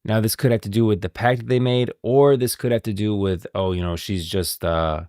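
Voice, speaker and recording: A man's voice: on the low side, steady tone, brisk, a little mellow, soft background music. A man doing casual live-stream commentary, talking in an emphatic, repetitive, slangy way.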